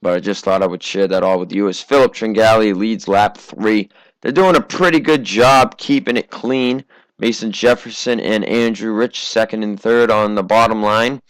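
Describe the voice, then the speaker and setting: A teenage American man from Massachusetts giving casual, conversational amateur racing commentary, his voice slightly hoarse from a cough. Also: lowish voice, fairly even intonation, normal speed, no echo, rough recording. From Massachusetts, United States. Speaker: race commentator.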